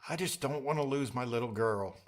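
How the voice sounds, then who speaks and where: Man acting a gentle, wistful middle-aged dad. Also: medium pitch, fairly quick, natural voice. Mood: wistful, tender. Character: tender, wistful father of a graduating daughter. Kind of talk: audiobook character dialogue. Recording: music under the voice.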